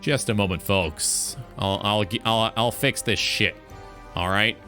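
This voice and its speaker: A man's voice: fairly low pitch, takes it slowly, pushed and bright, faint music behind. A man hosting a live stream in a casual, informal talk show style, swearing freely.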